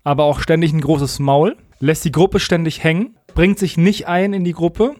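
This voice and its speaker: A man's voice: a little high, fairly even intonation, normal speed, a little mellow, dry recording, clean studio sound. A man co-hosting a podcast in casual, colloquial talk, giving frank opinions. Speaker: podcast co-host.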